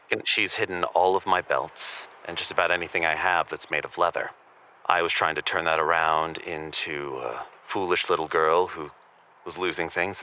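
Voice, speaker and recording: A man playing a stubborn, prim intellectual caller on a radio comedy show, precise and deadpan in his indignation. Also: sits low. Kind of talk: radio call-in comedy drama.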